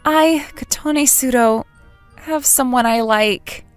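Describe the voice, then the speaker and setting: A woman's voice: sits high, slow, faint music behind. A woman playing a sociable but nervous, lovesick schoolgirl in a manga dub, with an emotive, hesitant delivery. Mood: fond narration. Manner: emotive, self-doubting, hesitant. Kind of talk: dramatic manga dub narration and dialogue.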